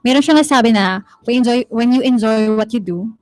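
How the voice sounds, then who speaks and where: A woman's voice: on the high side, a little fast. A woman, chatting casually on air as a radio co-host, hesitant and switching between languages. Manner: hesitant, mixing two languages.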